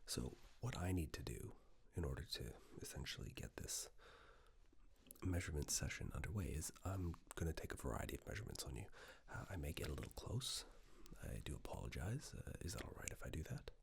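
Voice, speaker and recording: A man speaking softly and breathily in an unhurried ASMR roleplay, playing a polite tailor who murmurs instructions with pauses. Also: very animated, slight background noise. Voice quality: soft, breathy, half-whispered low voice. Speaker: ASMR performer playing a tailor taking suit measurements. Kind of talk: soft-spoken ASMR roleplay, personal attention.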